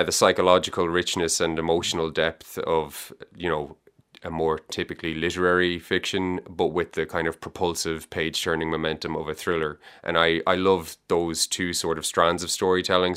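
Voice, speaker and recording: A man's voice: low voice, fairly bright tone, clean tone. An Irish man, a young adult author, answering interview questions thoughtfully, with many restarts and yeahs. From Ireland. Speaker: author guest.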